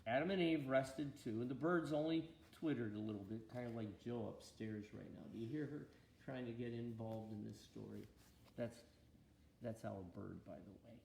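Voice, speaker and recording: A man reading a children's storybook aloud to a listener in an expressive way, with deliberate pauses and gentle asides. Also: medium pitch, vocal fry. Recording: roomy echo, faint background noise.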